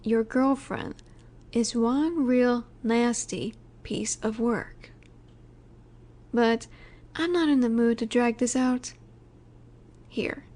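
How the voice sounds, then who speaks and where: Soft, mellow, high-voiced female mafia boss acted by a woman. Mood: cool, matter-of-fact. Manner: soft, unhurried, soothing yet in command. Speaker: audio roleplay voice actor. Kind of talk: intimate scripted audio roleplay, addressed to listener.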